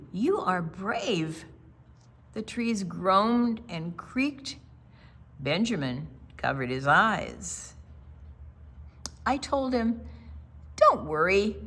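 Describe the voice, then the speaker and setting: Older woman, storytime reader, bright voice, unhurried pace, animated intonation, dramatic picture-book delivery. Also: medium pitch. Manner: dramatic, deliberate phrasing with hushed asides. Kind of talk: expressive picture-book read-aloud with asides.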